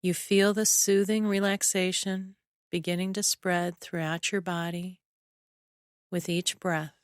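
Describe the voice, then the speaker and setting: A woman narrating a scripted guided relaxation in a soothing, measured way, with short phrases and long pauses. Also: lowish voice, little pitch movement, dry recording, studio quality. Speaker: guided imagery meditation narrator.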